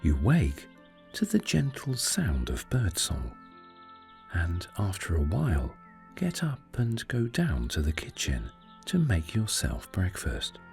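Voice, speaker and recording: Deep, very slow soothing male meditation narrator. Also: wildly swooping pitch, creaky, darkish tone, soft background music. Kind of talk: sleep meditation story narration, scripted.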